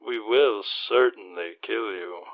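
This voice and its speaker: A man plays a sinister spy villain in a radio play, heard through a dull, muffled phone-like sound. His lowish voice is cold, deliberate and taunting. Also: a little hiss or hum. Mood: cold menace. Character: menacing, cold male spy villain on telephone. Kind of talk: audio play acting.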